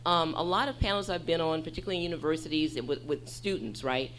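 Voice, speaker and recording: Low voiced lady prof on a panel, animated and reassuring, faint hum behind her. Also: quick pace, rough recording. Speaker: faculty member, panelist. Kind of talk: panel discussion.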